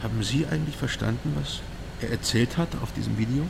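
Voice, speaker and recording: Gravelly, cautious investigator over machinery noise. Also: male voice, medium pitch, quick pace. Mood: guarded, sympathetic. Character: cautious, sympathetic East German investigator in covert meeting. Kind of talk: German radio drama dialogue. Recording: loud machinery noise behind.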